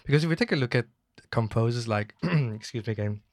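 A man speaks as a podcast guest in a mid-pitched voice. He talks thoughtfully and hesitantly, filling his pauses with "um" and "you know". The recording is dry and close. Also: lively intonation, no echo. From South Africa. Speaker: guest, music teacher. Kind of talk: casual podcast conversation.

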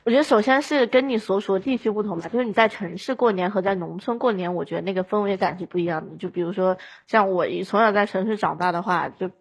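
Woman podcast guest from south china, bit husky, casual chat, explains stuff with examples. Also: mid-range voice, fast talker, hardly any pauses.

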